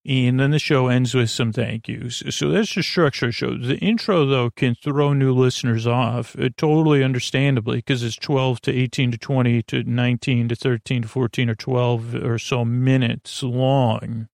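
A man's voice: mid-range voice, steady tone, normal speed, few pauses, a little mellow, dry recording, clean studio sound. A man hosting a sleep podcast, meandering through tangents with lots of filler words and half-finished sentences. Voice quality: creaky. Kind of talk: rambling bedtime talk.

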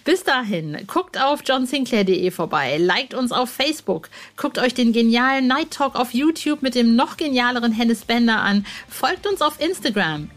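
A woman hosting a podcast in an informal, chatty and effusive way, with casual announcements. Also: on the high side. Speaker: podcast host.

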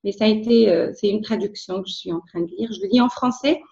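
A woman's voice: mid-range voice, quick pace, vocal fry, mellow, roomy sound, highs cut off. A woman whose first language is Arabic, speaking in a casual interview as a guest, hesitant, with many fillers and restarts.

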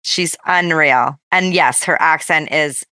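A woman host with a very low, bright voice, recorded dry and close. She affirms her guest with enthusiasm and keeps up a steady stream of agreement. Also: takes it slowly, clean tone, dry recording. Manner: affirming, enthusiastic, frequent back-channel. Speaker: podcast host. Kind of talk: conversational interview.

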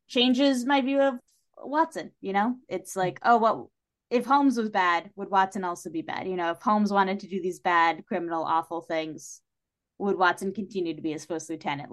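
A woman's voice: lowish voice, moderate pace. A woman from New England with an American accent, talking casually and emphatically in a literary discussion, with a sardonic edge. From New England, United States. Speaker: podcast guest.

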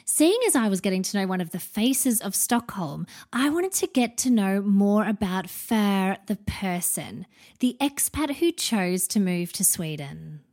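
A woman's voice: mid-range voice, lively intonation, measured pace, darkish tone. A woman podcast host narrating a voice-over between interview clips in a conversational way.

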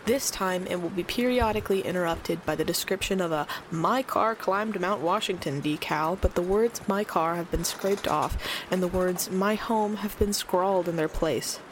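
A woman's voice: lowish voice, moderate pace, hardly any pauses, background noise, natural voice. A woman playing an uneasy late-night radio host in an audio drama, speaking in a hesitant, wry, conversational way. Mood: wry sign-off.

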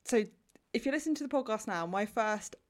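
A woman's voice: on the high side, animated, fairly quick. A young woman hosting her podcast, bubbly and effusive, often restarting her sentences. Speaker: podcast host. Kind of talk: casual interview chat.

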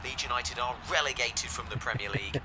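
A man's voice: mid-range voice, lightly acted. Man playing a solemn match commentator, broadcast style, outdoor stadium noise. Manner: measured, solemn. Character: male football match commentator.

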